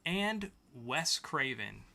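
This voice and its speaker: A man's voice: medium pitch, very animated, extremely slow, creaky, sharp tone. A man hosting a podcast, reading scripted pop-culture news in an announcer-like way with sarcastic quips. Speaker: podcast host.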